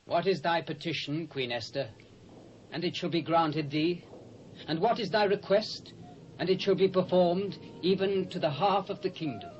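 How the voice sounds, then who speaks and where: A man's voice: a little high, normal speed, close to an everyday voice. A British man playing a commanding king of an ancient empire in a scripture audio drama, speaking regally. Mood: gracious. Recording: dull cassette audio with faint noise.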